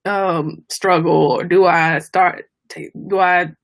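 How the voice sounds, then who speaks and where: Lower-pitched woman in casual, thoughtful discussion. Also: fairly even intonation, gravelly, no echo. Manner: thoughtful, halting, searching for words. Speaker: book club discussion host.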